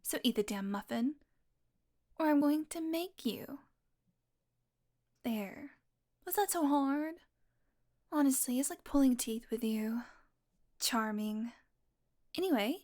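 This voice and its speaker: A woman's voice: high voice, unhurried, pauses often, darkish tone. A woman playing a sardonic, flirtatious, possessive female vampire in an intimate audio roleplay, talking teasingly to the listener and sometimes dropping into a murmur. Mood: menacing, impatient. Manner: sardonic, teasing, casually swearing, slipping into breathy murmurs.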